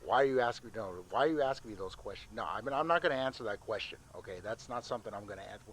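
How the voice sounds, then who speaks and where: An actor playing a frightened, paranoid outcast in a sci-fi audio drama, stammering and repeating himself defensively. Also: male voice, medium pitch, lively intonation, rapid-fire, a bit bright. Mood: paranoid, agitated. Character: frightened, paranoid male outcast refusing questions. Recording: faintly noisy outdoor field recorder.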